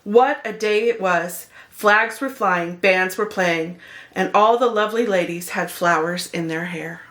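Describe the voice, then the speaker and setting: A woman's voice: on the low side, a little slow, a bit bright. A woman narrating a children's picture book expressively, as a read-aloud host speaking to young listeners.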